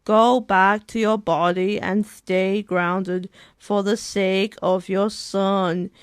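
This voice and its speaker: A man's voice: sits high, level tone, slow pace, clean tone, no echo, voice pushed up. A man voicing a stern, protective male companion in a story, scolding as he speaks. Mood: stern, exasperated.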